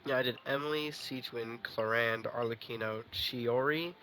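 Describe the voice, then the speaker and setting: Casual male game streamer, mid pitch. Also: steady tone, normal speed, sharp tone, rough recording. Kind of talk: casual gameplay commentary. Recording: faint game noise behind.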